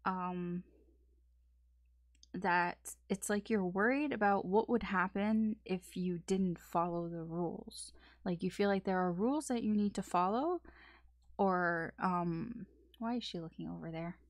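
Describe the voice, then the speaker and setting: A woman's voice: medium pitch, no echo, studio quality. A woman giving a casual weekend tarot reading, rambling along with lots of fillers. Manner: rambling, filler-heavy talk. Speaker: tarot reader.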